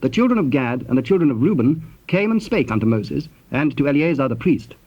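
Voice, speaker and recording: A man narrating scripture in a formal, measured way. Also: mid-range voice, sing-song. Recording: dull, noisy old cassette tape.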